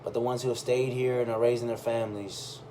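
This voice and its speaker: A man reading a first-person story aloud in a conversational, expressive way. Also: medium pitch, quick pace, rough recording. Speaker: reader of a personal chronicle. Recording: clearly audible background noise.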